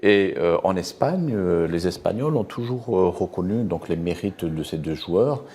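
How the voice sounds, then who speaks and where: A man on a television studio panel with a deep, dark, mellow voice. He lays out his points in a measured way, pausing on fillers as he adds detail. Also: animated, a little hiss or hum. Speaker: panel guest. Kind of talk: studio talk show discussion.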